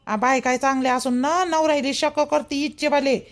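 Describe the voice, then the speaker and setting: A woman's voice: high-pitched, steady tone, normal speed, lightly acted. A woman voicing a middle-aged, protective, gossipy mother-in-law in a village cartoon, emphatic and repetitive, with a Vidarbha Marathi accent. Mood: indignant, reassuring. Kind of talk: rural family cartoon dialogue.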